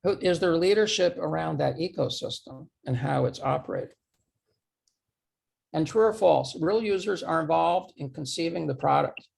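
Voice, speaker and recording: A man giving a presentation, his voice going hoarse, halting and repeating words as he poses true-or-false questions. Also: on the high side, a little mellow. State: losing his voice, clearing throat. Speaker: conference speaker. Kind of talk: talk presentation with audience questions.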